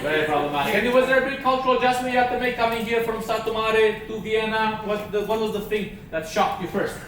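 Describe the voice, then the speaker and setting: A man doing stand-up crowd work in a comedy club, teasing audience members with quick banter and a constant "okay?". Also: high-pitched, fairly bright tone, very echoey, recorded from a distance, slight background noise, poor audio. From Romania.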